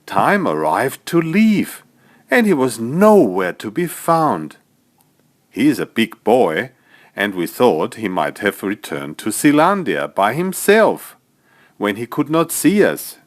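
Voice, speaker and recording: A man narrating a scripted bedtime story for small children as its author, in an expressive storytelling manner. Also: medium pitch, slow. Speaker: author narrating own stories.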